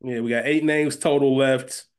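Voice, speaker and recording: A man's voice: medium pitch, fairly even intonation, moderate pace. A man co-hosting a podcast, chatting informally and conversationally. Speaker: podcast co-host. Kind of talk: casual banter about a TV series fantasy draft.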